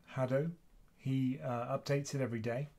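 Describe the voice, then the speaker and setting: A man in his fifties with a British accent, hosting a live investing Q&A stream from a small home room, chatting casually in a quiet voice. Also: medium pitch, little pitch movement, normal speed. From United Kingdom. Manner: casual, chatty, soft-spoken. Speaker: investing channel host.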